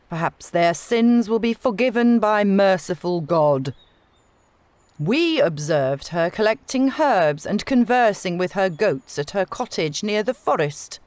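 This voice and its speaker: Woman acting a slow, pompous male witch-finder. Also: mid-range voice, no room sound, pitched above the performer's own voice. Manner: pompous, declamatory. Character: arrogant, zealous male witch-finder, stern and righteous. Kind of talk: dramatised storybook reading.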